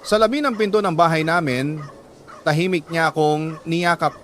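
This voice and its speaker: Male radio storyteller, fairly high voice, measured and emotive first-person narration, some background noise. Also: fairly bright tone. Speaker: radio drama host reading a listener's letter.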